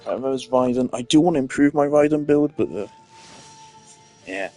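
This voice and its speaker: A man's voice: mid-range voice, normal speed, mellow, soft background music. A man giving casual gameplay commentary, rambling as he thinks aloud. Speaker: gamer.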